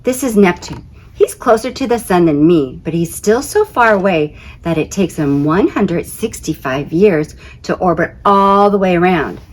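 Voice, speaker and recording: A woman with a slightly low voice reads a story for children. Her delivery is conversational and very lively, rising and falling often as she goes. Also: normal speed, a little hiss or hum, low-quality recording. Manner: conversational, expressive. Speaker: teacher reading a picture book aloud. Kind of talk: expressive children's story read-aloud.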